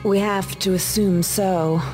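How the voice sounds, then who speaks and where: A woman playing a composed, businesslike spymaster giving a briefing in a video game, measured and guarded. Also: medium pitch, fairly even intonation, over music, lightly acted, poor audio. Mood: cool, measured. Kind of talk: scripted game dialogue, briefing.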